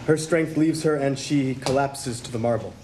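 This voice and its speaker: A man's voice: medium pitch, normal speed. A man narrating script directions in a dramatic reading at a table read. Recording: room with faint noise and crowd.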